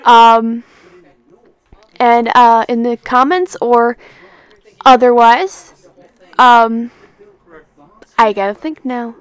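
A woman's voice: fairly high pitch, fairly even intonation, extremely slow, long pauses, clean tone, no room sound. A young woman giving a casual, unscripted announcement in a rambling, hesitant and self-deprecating way, as the creator of an amateur project.

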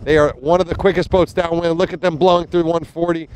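A man's voice: a little high, little pitch movement, fast talker, darkish tone, a little hiss or hum. Chatty male sailing commentator on a boat. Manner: chatty, enthusiastic, many fillers and restarts. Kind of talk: live race commentary. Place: small motorboat on open water, outdoors. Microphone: handheld mic with fuzzy windscreen.

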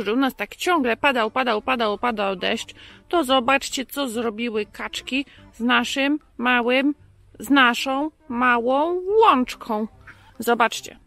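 A woman's voice: on the high side, gravelly, a bit bright. A woman voicing a moustached male puppet who talks casually to children, chatty and repetitive, drawing out key words.

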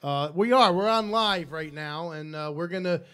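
A middle-aged man hosting a casual live interview, often halting and repeating words, at a studio table microphone. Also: on the high side, pushed and bright, smooth. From New Jersey, United States. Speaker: radio show host. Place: small foam-treated studio.

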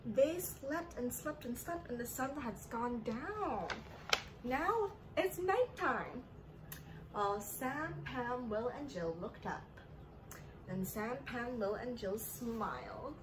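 Slow talking lady doing kids story time, super up and down sing-song voice, noisy home recording. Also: on the high side, sing-song. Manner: sing-song, addressing young children with simple questions. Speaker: children's story time host and teacher. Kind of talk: children's read-aloud and teaching. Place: living room indoors.

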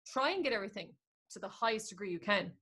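A young Irish woman, a singer, talking in long animated runs on a video call from home. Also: mid-range voice, fast, slightly rough. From Ireland. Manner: animated, talks in long flowing runs with quoted asides. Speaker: guest singer. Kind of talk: casual interview chat. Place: room at home. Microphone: video call with earphone mic.

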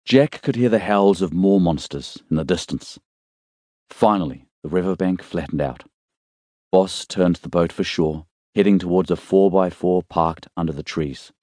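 Low voiced guy narrating a dramatic novel, dry close audiobook sound. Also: normal speed, darkish tone, dry recording. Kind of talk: scripted dramatic fiction narration.

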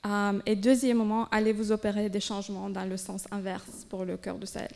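A woman's voice: medium pitch, little pitch movement, brisk, gravelly, a little mellow. A young woman interviewer who reads prepared questions from her notes into a handheld mic, with a few hesitations, in a room indoors.

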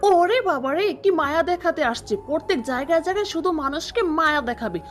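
A woman puts on a sharp-tongued young girl in a fairy-tale cartoon. The voice is very high and snappy, with a rude, scornful edge. Light music runs behind the bratty delivery. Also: expressive, fast.

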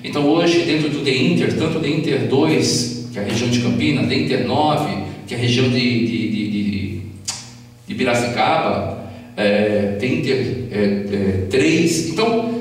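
A man's voice: mid-range voice, lively intonation, unhurried. A middle-aged man, giving an earnest, informal public speech with emphatic repetition. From São Paulo state, Brazil. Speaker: private detective, guest speaker. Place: small council chamber, indoors at a lectern. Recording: echoey hall, distant mic, humming noise.

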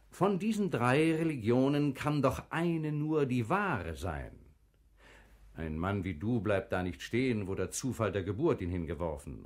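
A man playing a proud, probing sultan in a classical radio drama, with theatrical, deliberate, commanding phrasing. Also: mid-range voice. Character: proud, probing male sultan of crusade-era Jerusalem. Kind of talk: classical stage verse radio drama acting.

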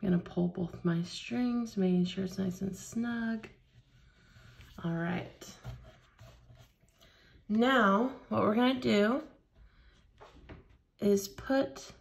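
A woman leading a hands-on beading tutorial at a craft table, explaining each step slowly and patiently. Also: medium pitch, vocal fry, darkish tone. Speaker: craft instructor.

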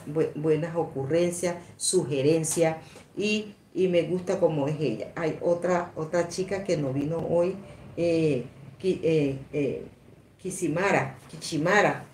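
Live-stream host: woman in her sixties, fairly low voice, halting chatty delivery, roomy sound. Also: darkish tone. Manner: rambling, repetitive, halting. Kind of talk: casual live-stream chat, reading out comments. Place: small indoor room at a table. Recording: echoey room, some background noise.

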